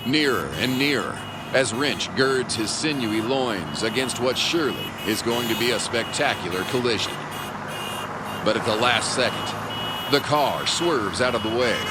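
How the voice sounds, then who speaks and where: Man doing a low, melodramatic pulp narrator. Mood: suspenseful. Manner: melodramatic, florid. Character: pulpy, wry, overwrought noir narrator. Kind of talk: scripted comic pulp narration. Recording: clear car and road noise.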